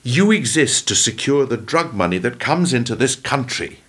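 An actor playing a principled, defiant former prime minister in a radio play, speaking in an earnest, oratorical way with a husky voice. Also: male voice, medium pitch, sing-song, moderate pace, fairly bright tone. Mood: indignant.

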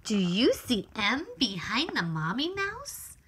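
A woman reading a children's picture book aloud in an expressive, exclamatory, sing-song storytelling manner for young children. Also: fairly high pitch, takes it slowly, a little hiss or hum.

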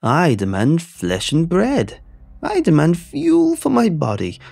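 A man voicing a pompous, shrieking egg-shaped creature who makes booming, formal demands. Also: huge pitch swings, slow, vocal fry, darkish tone, dry recording, clean studio sound, full character voice. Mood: booming demanding.

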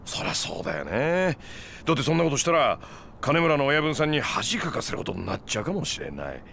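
A smug moneylender in his thirties from a crime game. He talks at an everyday male pitch in a glib, casual, needling way, with faint noise behind him. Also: sing-song, brisk, vocal fry, a bit bright. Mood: needling, lecturing. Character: smug, laid-back moneylender goading young gangsters. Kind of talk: video game cutscene acting.

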